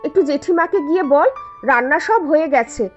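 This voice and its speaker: A woman's voice: high-pitched, lively intonation, fairly quick, highs cut off, faint music behind. A woman playing a poor but proud mother in a cartoon, earnest and easily hurt. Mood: earnest, caring. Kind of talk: cartoon voice acting.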